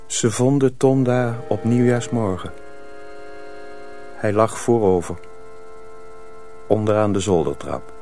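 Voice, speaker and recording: A man narrating a dark folk tale for a radio drama, measured and solemn. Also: fairly low pitch. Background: music.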